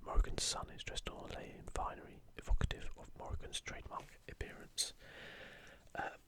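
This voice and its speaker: A man speaking in a breathy, unvoiced whisper, giving soft ASMR commentary as he hosts a video. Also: a little fast.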